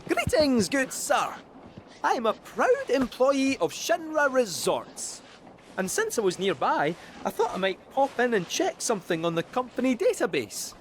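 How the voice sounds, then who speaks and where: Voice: mid-range voice, huge pitch swings, measured pace, a little hiss or hum. An actor voicing a cheerful male robot cat in a video game, with a chirpy, theatrical, ingratiating manner. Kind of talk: video game character acting.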